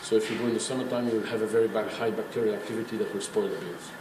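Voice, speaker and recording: A man guides a tour with an emphatic, didactic delivery. He explains informally but with firm opinions. The sound is roomy and echoing, with clear background noise. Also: fairly low pitch, steady tone, racing. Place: indoors in a working brewery.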